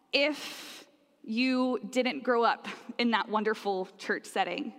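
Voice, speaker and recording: A woman's voice: medium pitch, a bit bright. A young woman from Oklahoma, giving a friendly, conversational sermon with frequent fillers. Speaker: preacher. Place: church sanctuary. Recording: long church reverb.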